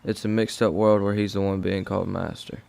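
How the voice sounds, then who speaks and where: A teenage boy as a gravelly, grumbling servant lad. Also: deep voice, flat delivery, fast talker, very noisy. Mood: sardonic. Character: grumbling, sardonic young servant brother. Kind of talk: amateur school radio play acting.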